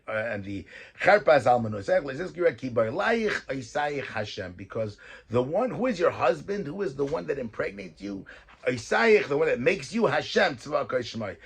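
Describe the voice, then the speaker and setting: A man, a rabbi, giving a weekly Torah talk, reading verses and explaining them in lively English. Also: mid-range voice, husky. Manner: mixes Hebrew verses with English explanation, animated.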